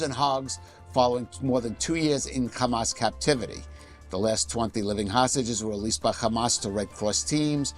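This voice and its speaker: A man anchors a news bulletin, reading the update in a steady, measured delivery. His voice is of middling pitch, and faint music plays behind him.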